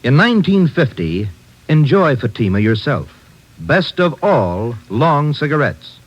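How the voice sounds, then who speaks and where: A man reading an upbeat sales pitch in 1940s radio advertising style. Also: big pitch swings, unhurried. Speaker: commercial announcer. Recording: dull old radio recording.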